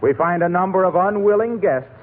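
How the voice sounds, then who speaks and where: A man delivering polished, scripted announcements and sales pitches as a 1940s radio announcer, on a tinny old recording. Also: brisk, heavy background noise.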